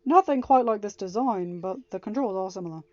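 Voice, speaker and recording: A woman voicing a brash, irritable male starship captain, slow and gruff. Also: mid-range voice, a little mellow, no echo, muffled recording, lightly acted. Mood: irritated.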